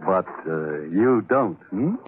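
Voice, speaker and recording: An animated, wry ship's mate. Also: male voice, deep voice, slow pace. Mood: wry, breezy. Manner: slangy, wry. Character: breezy, wry, tough first officer, loyal sidekick. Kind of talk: 1940s radio adventure acting. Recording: tinny, band-limited 1940s radio recording.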